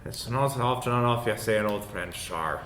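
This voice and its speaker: A man's voice: lowish voice, moderate pace, a bit bright, close to an everyday voice. A man playing a polite, chatty, hesitant dwarf inventor in a tabletop game. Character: awkward, polite dwarf inventor, grieving his father. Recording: roomy echo, clear background noise.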